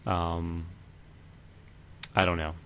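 Low-voiced man chatting about films. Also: level tone, drawn-out words, a bit bright, a little hiss or hum. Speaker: podcast co-host. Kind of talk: casual film discussion.

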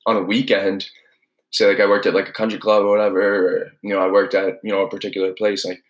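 A man's voice: fairly low pitch, completely flat delivery, quick pace, low-quality recording. A young man hosting his own podcast, telling a story in a casual, rambling way full of filler words. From New England. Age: young adult. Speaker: podcast host.

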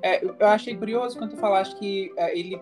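A woman's voice: mid-range voice, quick pace, music in the background. A woman hosting a casual podcast interview, upbeat and exclamatory as she asks her guest friendly questions. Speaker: podcast host.

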